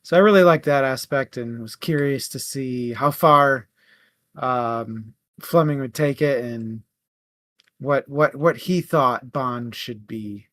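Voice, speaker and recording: A man co-hosting a book club show, discussing casually in a halting, conversational way. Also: medium pitch, fairly bright tone, no room sound.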